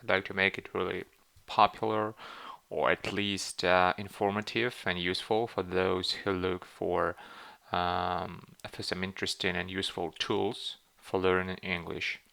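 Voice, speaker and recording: Halting deep man, Ukrainian accent. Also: level tone, gravelly, pushed and bright, clean tone. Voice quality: hesitant, many fillers and restarts. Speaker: channel host. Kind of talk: screen-walkthrough tutorial.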